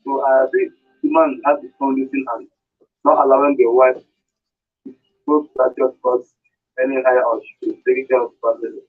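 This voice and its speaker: A Nigerian man with a husky voice phoning a radio show to give his opinion. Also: medium pitch, lively intonation, a little fast, pauses often, faint music behind. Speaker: radio phone-in caller. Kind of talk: giving an opinion on air. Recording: tinny phone line into radio.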